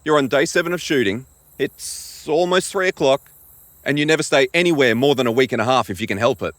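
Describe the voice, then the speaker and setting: A man's voice: mid-range voice, fairly quick, fairly bright tone, no echo, pitched above the performer's own voice, close to an everyday voice. A man voicing a cocky male mountain guide who mocks and taunts a rival, smugly, in an audio drama. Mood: taunting. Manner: taunting, smug.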